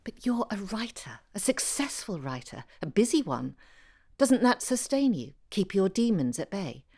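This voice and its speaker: A woman's voice: mid-range voice, big pitch swings, normal speed, dark tone. An actress playing a genteel, apologetic woman amateur historian in an audio drama, polite and musing as she voices her letters. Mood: concerned.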